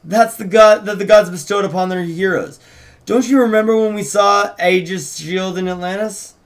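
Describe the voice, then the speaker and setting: A man's voice: high-pitched, steady tone, moderate pace, pitched above the performer's own voice. A man reading the part of a supportive, hopeful teenage girl in a fantasy script, earnest and encouraging. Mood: insistent.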